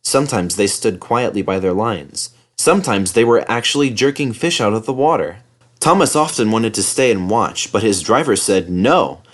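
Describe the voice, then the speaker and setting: A man's voice: on the low side, expressive, moderate pace. A man narrating a children's story as a scripted read-aloud. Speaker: storybook reader.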